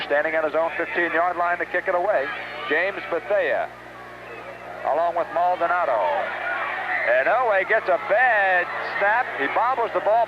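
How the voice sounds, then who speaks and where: A man's voice: high voice, lo-fi sound, very noisy. An American man working as a radio sportscaster, calling a football game play by play in short, detailed phrases on an old 1970s broadcast. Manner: detailed running description in short clipped phrases. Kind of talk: live high school football radio commentary. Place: outdoor stadium game broadcast.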